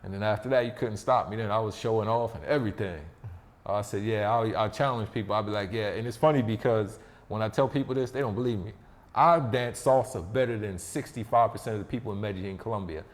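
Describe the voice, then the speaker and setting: A man's voice: on the low side, fast talker. A man appearing as a podcast guest, telling stories in a confident, boastful way. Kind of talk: casual interview chat. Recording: long reverb.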